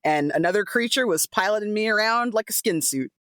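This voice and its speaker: A woman in casual, animated chat, playful and theatrical, happy to swear as she tells a story. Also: medium pitch, brisk, no room sound, studio quality. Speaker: tabletop role-play performer.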